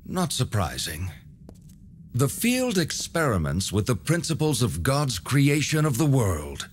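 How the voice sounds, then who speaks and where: An actor playing an old alchemist hermit in a video game, courteous and knowing, with measured, formal, cryptic delivery. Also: male voice, mid-range voice, wildly swooping pitch, a little hiss or hum. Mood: instructive. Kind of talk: video game cutscene voice acting.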